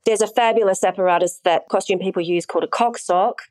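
A woman speaking at a middle pitch, explaining her job in a frank, matter-of-fact way. She laughs easily as she talks. Her voice is recorded close and dry, with no room sound. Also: fast talker, a little mellow, dry recording. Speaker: intimacy coordinator. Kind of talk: casual interview chat.

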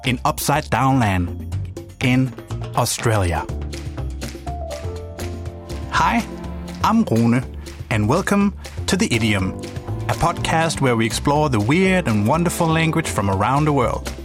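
A young Danish-accented man hosts his podcast in scripted narration, reflective and gently playful, at an ordinary mid pitch. Faint music sits underneath his voice. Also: very animated, takes it slowly, long pauses. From Denmark. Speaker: podcast host.

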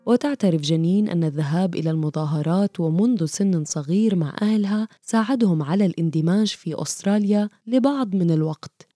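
A woman reading scripted documentary narration in a measured, formal way. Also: on the low side, warm tone, no echo, studio quality.

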